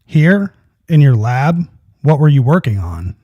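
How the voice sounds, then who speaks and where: A man's voice: medium pitch, big pitch swings, measured pace, dry recording, dull audio. A man playing a probing investigator questioning a suspect in an audio drama. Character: Paul, a male questioner investigating a murder.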